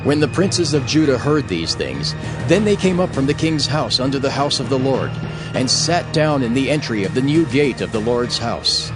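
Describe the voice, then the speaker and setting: A man narrating scripture from a script in a measured, formal way. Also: medium pitch, animated, over music, low-quality recording.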